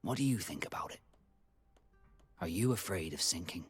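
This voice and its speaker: An actor playing a proud, loyal sea captain with a romantic, guarded streak in a video game, speaking in a husky, measured, formal way. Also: male voice, sits low, lively intonation, soft background music. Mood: tender, wistful.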